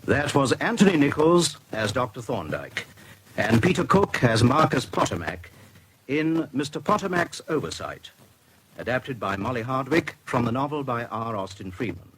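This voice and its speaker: A British man reading scripted credits as a radio announcer, formal and clearly articulated. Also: mid-range voice, a bit bright, slight background noise, low-quality recording.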